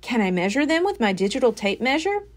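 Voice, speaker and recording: Woman doing young boy sheep, storybook, earnest, fussy, higher-pitched, lively intonation. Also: fast. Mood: eager. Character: earnest gadget-loving young male sheep.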